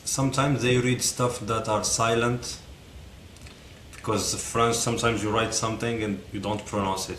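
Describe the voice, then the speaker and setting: A man with a fairly low voice talks as a language professor in a casual interview. He speaks in a calm, encouraging way with plain, simple phrasing. The room sounds echoey, with a faint rumble behind him.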